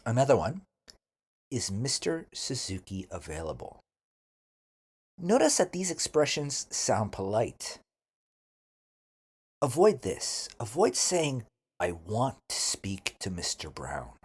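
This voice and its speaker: Male language instructor, husky voice, slow and deliberate delivery with careful enunciation for learners. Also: mid-range voice, wildly swooping pitch, a little mellow. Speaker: English teacher. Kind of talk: scripted language lesson with model phrases to repeat.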